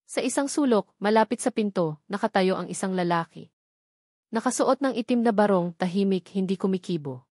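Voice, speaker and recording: Female audiobook narrator, medium pitch, scripted drama delivery, emphatic phrasing, very little room sound. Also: brisk, a little mellow, no echo, clean studio sound.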